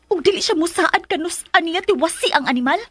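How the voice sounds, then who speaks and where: A woman's voice: very high voice, expressive, a little fast, fairly bright tone, dry recording. A woman playing a theatrical, volatile, vindictive schemer in a radio drama. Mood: furious, venomous. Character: scheming, vindictive, pregnant woman villain demanding marriage.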